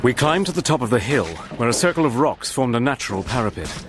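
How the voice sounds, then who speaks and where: An actor playing a steady, soldierly adventurer, narrating his wartime story in a measured, grave manner. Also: mid-range voice, over loud music, natural voice. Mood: grave, tense. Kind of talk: scripted dramatic narration.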